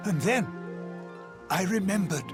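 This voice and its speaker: An actor voicing a solemn, courtly seer in a video game, speaking formally with archaic, measured diction over music. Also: male voice, high-pitched, expressive. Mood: wondering, resolute. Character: courtly, solemn male seer troubled by prophetic dreams. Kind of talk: dramatic video game voice acting. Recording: loud music under the voice.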